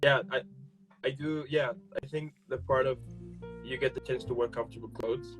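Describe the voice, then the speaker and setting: A man's voice: mid-range voice, fairly even intonation, normal speed, fairly bright tone, faint music behind, rough recording. A man hosting an interview show, asking questions in an eager, upbeat way. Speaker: host. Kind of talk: casual interview chat.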